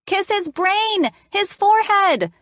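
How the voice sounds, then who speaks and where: A woman voicing an excitable, bossy little girl with theatrical, squealing delivery in an audiobook. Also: very high voice, slow, no room sound, clean studio sound, voice pushed up, heavily acted. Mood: bossy, excited.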